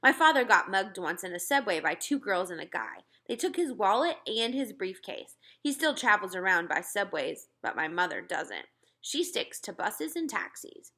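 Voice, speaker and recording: A woman reading a children's book aloud in an expressive storytelling style. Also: mid-range voice. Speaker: read-aloud reader.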